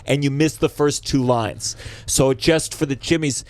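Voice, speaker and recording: An animated man hosting a casual comedy podcast, spinning stories and swearing freely. His voice sits at a middle pitch and sounds dry and close, with no room around it. He talks fast and loose. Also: no echo. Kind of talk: casual chat.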